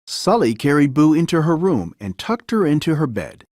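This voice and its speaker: A man narrates a children's storybook in an expressive, dramatic way. His fairly high voice swings freely up and down, and the sound is close and dry. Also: moderate pace, no echo. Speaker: storybook reader. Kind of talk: children's story read-aloud, scripted narration.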